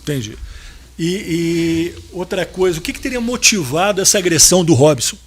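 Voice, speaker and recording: A man questioning a witness at a jury trial in a formal, methodical way, with short quiet acknowledgments between questions. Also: a little high, normal speed, slightly rough. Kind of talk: courtroom questioning.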